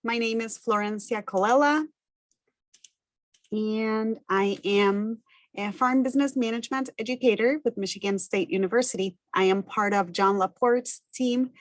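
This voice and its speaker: A woman's voice: mid-range voice, normal speed, no echo. Spanish-accented woman presenting, dry sound. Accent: Argentine Spanish. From Argentina. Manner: earnest, methodical, lists items one by one. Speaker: farm business educator. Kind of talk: recorded self-introduction presentation.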